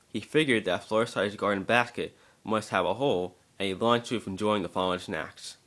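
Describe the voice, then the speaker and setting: A man with a somewhat low voice reads a story as narrator. It is an amateur dramatic reading, with occasional stumbles and repeated words. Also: moderate pace, a bit bright.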